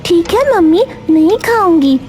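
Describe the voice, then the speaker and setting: A woman's voice: extremely high pitch, animated, unhurried, a little mellow, faint music behind. A woman playing an innocent, eager little schoolgirl in a cartoon story, with a naive, childlike manner. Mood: obedient.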